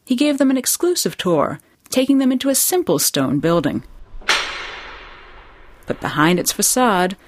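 A woman hosting a radio program in a studio, reading scripted narration in a measured presenting manner. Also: mid-range voice, very animated. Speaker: program host.

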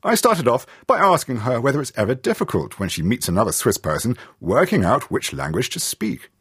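British male radio reporter, husky voice, lively intonation, dry studio sound. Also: medium pitch, brisk, no room sound. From Britain. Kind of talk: radio feature narration and interview.

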